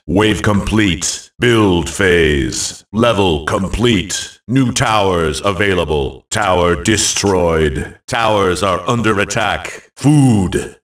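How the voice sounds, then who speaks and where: An actor playing a bold, dramatic video game announcer, making theatrical, punchy calls. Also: male voice, low voice, fairly bright tone, roomy sound, distant mic, full character voice. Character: booming male arcade-style game announcer, bold and dramatic.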